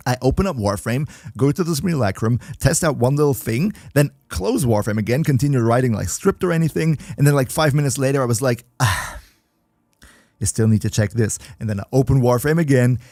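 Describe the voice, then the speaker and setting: Streamer, male, mid-pitch, chatty and joking, close dry mic. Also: a little mellow, no room sound. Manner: chatty, joking, reads chat aloud. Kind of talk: casual livestream chat with viewers.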